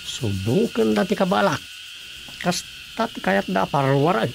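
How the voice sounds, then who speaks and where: An actor with an Ilocano accent playing a stubborn, grumbling village captain in a comedy radio drama. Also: male voice, sits high, sing-song, unhurried, vocal fry, a little hiss or hum, heavily acted, poor audio. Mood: annoyed.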